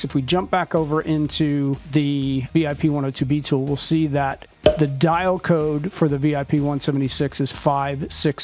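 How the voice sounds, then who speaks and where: A man narrating a step-by-step software tutorial as a training instructor, explaining things in a clear, methodical way. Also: on the high side.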